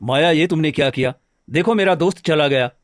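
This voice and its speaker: A man playing a gentle, anxious village woodcutter in a cartoon story, speaking earnestly and hesitantly. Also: a little high, brisk, no echo, clean studio sound, lightly acted. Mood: earnest, pleading. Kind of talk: scripted Hindi cartoon story dialogue. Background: background music.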